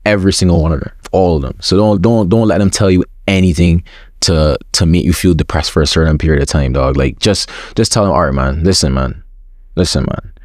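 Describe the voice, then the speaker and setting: A man's voice: low-pitched, a little fast, darkish tone, dry recording, clean studio sound. A young man as an interview guest, chatting openly in slangy talk with many repeated words. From school system with forms. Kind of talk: casual interview chat.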